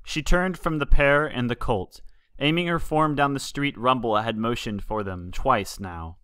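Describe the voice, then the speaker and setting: A man narrates written fan fiction as a story reader. His pitch sits in the middle of the male range. The recording is dry, with almost no room sound. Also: measured pace, pushed and bright, clear, resonant tone, dry recording.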